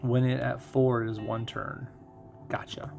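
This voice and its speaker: A man with a gravelly, mid-pitched voice chats casually as he streams a game, with faint music behind him. He talks through the mechanics conversationally, thinking aloud as he goes. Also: level tone, a little slow, darkish tone. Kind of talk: casual live gaming commentary and chat.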